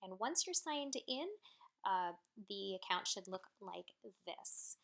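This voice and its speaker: A woman's voice: medium pitch, animated, slow pace, gravelly, fairly bright tone. A woman walking new support staff through a messaging tool, talking casually with many ums and uhs as she explains each step. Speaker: customer support trainer. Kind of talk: screen-share training walkthrough.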